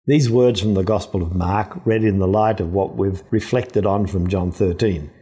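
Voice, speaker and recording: An older man, a priest, giving a reflective scripture sermon in a deliberate, earnest way. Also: low-pitched, steady tone, dark tone.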